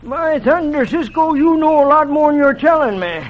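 An actor playing a folksy, excitable cowhand in an old radio western, with an American Western drawl. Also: male voice, very high-pitched, moderate pace. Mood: eager, curious. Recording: dull, hissy old radio recording.

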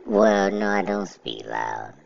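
Very deep, gravelly, slow-talking man. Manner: steady, plainspoken, self-described monotone. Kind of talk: casual monologue to camera. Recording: dull lo-fi recording.

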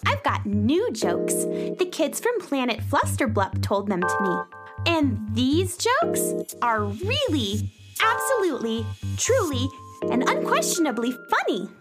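A woman's voice: sits high, wildly swooping pitch, a little slow, loud music behind the voice, exaggerated voice. A woman playing a cheerful, eager young joke-telling space girl with an earnest, emphatic manner in a children's audio comedy.

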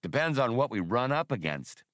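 A man's voice: mid-range voice, very animated, moderate pace, fairly bright tone, no echo, clean studio sound, pitched above the performer's own voice. A man voicing a cocky, self-assured male starship pilot and gunslinger in an audiobook, with a blunt, confident, clipped delivery. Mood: cool, blunt. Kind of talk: audiobook character voice.

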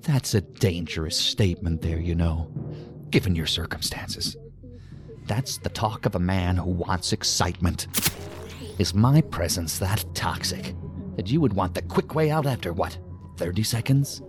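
An actor playing a menacing, talkative intruder with dark sardonic humour in an audio drama, taunting and rambling as he goes. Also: low voice, unhurried. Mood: sardonic menace. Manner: taunting, rambling, deadpan-joking. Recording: music under the voice.

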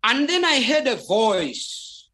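A native Bemba speaker with a Zambian accent giving a personal spiritual testimony in a halting, deliberate way, repeating words for emphasis. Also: medium pitch, very animated, bright, forceful tone.

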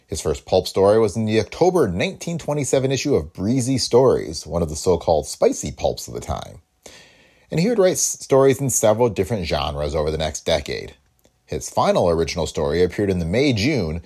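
A man's voice: low voice, darkish tone. A man hosting a podcast, reading a scripted introduction. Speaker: podcast host.

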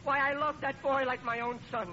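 An actor playing a hapless, blustering American working-class father in a 1940s radio comedy, with rough colloquial speech and a pleading manner. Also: very high voice, fairly even intonation, a little fast. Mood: indignant, pleading. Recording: muffled, very noisy old radio broadcast.

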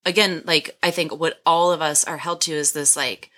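A woman's voice: deep voice, fairly even intonation, normal speed, sharp tone. A young adult woman co-hosting a podcast, speaking thoughtfully and hesitantly, with frequent "like" fillers. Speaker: podcast co-host. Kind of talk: reflective casual chat.

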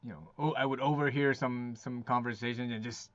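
A man chatting as a podcast guest, his medium-pitched voice dry and a little muffled. He tells his stories loosely, with many false starts and laughs. The tone stays easygoing. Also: fairly even intonation, no echo. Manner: halting, many restarts, laughs often. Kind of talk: casual interview chat. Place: lives in Quebec.

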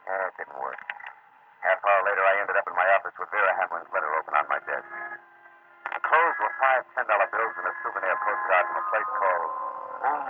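An American actor playing a terse, world-weary, wisecracking private detective in a 1940s radio drama. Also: male voice, deep voice, brisk, natural voice. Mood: wry, weary. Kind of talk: 1940s radio drama acting with first-person narration. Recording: scratchy, noisy, narrow-band old radio recording.

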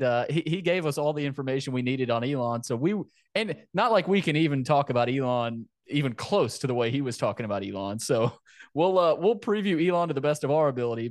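A man with a mid-pitched voice hosts a casual sports podcast. He talks conversationally and circles back over phrases for emphasis. The recording is close and dry. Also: no echo.

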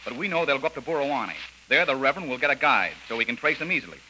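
An actor playing a brisk, take-charge adventurer hero in a 1930s style radio serial. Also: male voice, mid-range voice, lively intonation, sharp tone, poor audio. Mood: brisk, decisive. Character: Jungle Jim, brisk commanding adventurer hero, man. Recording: old radio recording with faint noise.

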